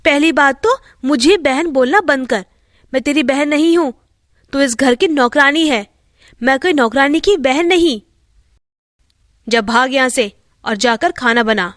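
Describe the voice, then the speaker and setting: A woman voicing a haughty, spiteful young bride with a sharp, contemptuous manner in a storybook tale. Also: sits high, measured pace, long pauses, no room sound, clean studio sound. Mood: angry, contemptuous.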